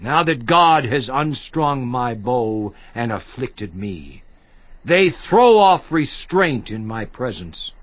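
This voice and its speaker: An actor playing a suffering, once-honored biblical patriarch in a dramatized scripture monologue, theatrical and declamatory with long pauses. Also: male voice, medium pitch, very animated, no room sound, lo-fi sound. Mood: anguished lament. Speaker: actor in a dramatized audio Bible.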